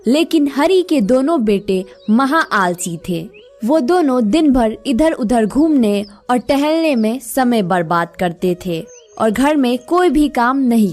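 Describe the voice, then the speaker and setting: A woman's voice: fairly high pitch, a little mellow. A woman narrating a scripted moral story for children.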